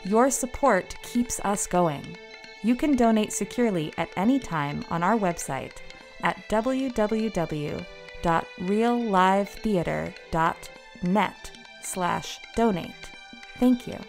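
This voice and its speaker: A woman announcer reading scripted closing credits in a measured, deliberate way. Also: mid-range voice, expressive. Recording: faint music behind voice.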